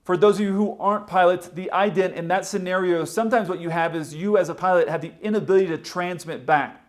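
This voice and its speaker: A man's voice: sits high, fairly even intonation, fast talker. A man, a pilot hosting a video, explains flying procedures in a plain-spoken, opinionated commentary. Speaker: pilot and video host explaining aviation radio.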